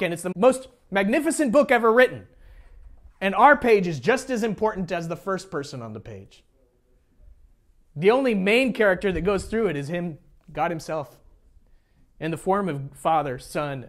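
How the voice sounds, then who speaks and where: Male pastor, American, high register, very varied intonation, informal teaching sermon with joking asides. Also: lively intonation, moderate pace. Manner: informal, jokey asides, repeats phrases for emphasis. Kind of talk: sermon, teaching a congregation conversationally.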